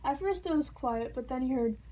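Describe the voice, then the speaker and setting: A woman narrating a children's storybook aloud in an expressive, playful storytelling manner. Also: high voice, a little fast. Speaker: storybook reader.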